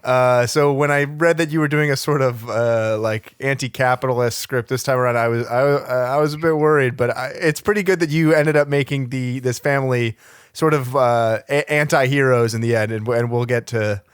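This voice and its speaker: A man playing a polite, slightly smug talent agent in improvised comedy, measured and hedging. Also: medium pitch, clean tone, dry recording, studio quality, close to an everyday voice.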